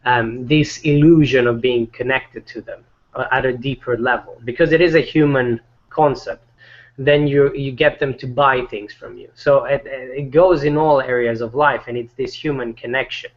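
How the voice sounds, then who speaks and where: A man with a voice that isn't deep talks in a loose group conversation. He rambles, restarts his sentences and leans on fillers. The sound is dull and lo-fi. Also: normal speed. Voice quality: not deep. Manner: rambling, many fillers and restarts, laughs between points. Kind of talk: casual group chat.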